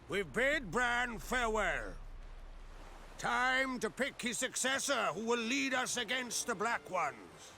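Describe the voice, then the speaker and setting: Gravelly, forceful clan leader. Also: male voice, extremely high pitch, heavily acted. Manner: forceful, declamatory. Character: proud, commanding island clan leader addressing mourners. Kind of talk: proclamation in a video game.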